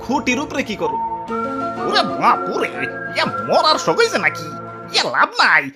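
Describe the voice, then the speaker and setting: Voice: medium pitch, huge pitch swings, moderate pace. A performer with a Bangladeshi accent voicing a hot-tempered, grumbling neighbour in a comedy cartoon, with dramatic colloquial ranting. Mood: nosy, baffled. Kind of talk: exaggerated comedy cartoon dubbing. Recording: music behind the voice. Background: comic music.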